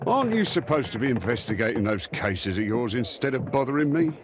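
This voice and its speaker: An actor playing a mocking, easily flustered police inspector with a British accent in a video game. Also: male voice, medium pitch, sing-song, fairly quick, background noise, natural voice. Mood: irritated. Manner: mocking, dismissive. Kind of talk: video game cutscene acting.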